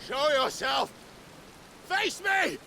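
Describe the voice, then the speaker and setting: An actor playing a grim, defiant young warrior, tragic and resolute, with terse, intense delivery in a fantasy game. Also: male voice, very high voice, animated, very slow, heavily acted. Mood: enraged. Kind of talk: dramatic fantasy game acting. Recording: clearly noisy, rain-like background.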